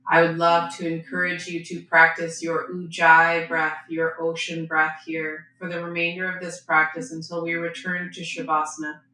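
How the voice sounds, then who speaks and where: A woman yoga teacher giving gentle, unhurried guided breathing and posture instruction, with pauses between phrases. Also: fairly low pitch, monotone. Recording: very echoey room, faint background noise.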